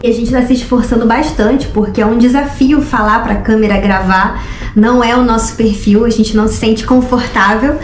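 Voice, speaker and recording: A woman's voice: on the high side, steady tone, normal speed. A woman in her twenties with a Rio de Janeiro accent, telling a story casually to the camera as a vlogger. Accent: Rio de Janeiro Brazilian Portuguese. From Rio de Janeiro, Brazil. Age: 20s. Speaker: vlogger, co-host of a couple's travel channel. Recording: roomy echo, music behind.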